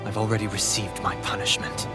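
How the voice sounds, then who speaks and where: An actor playing a composed, resolute nobleman in a video game cutscene, formal, measured and eloquent. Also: lowish voice, level tone, loud music behind the voice, close to an everyday voice. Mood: solemn.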